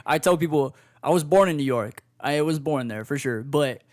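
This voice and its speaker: A man's voice: a little high, normal speed, no echo. A young man from Florida hosting a casual podcast chat, easygoing and slangy, laughing often. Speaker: podcast host.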